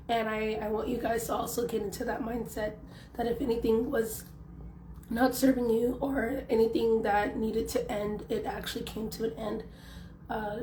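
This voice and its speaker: A young woman with a hoarse, worn-out voice, chatting casually through a tarot reading and leaning on lots of fillers. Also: on the high side, dark tone. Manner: chatty, loose, filler-heavy. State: hoarse, losing her voice. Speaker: tarot reader. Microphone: phone. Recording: echoey room, faint background noise.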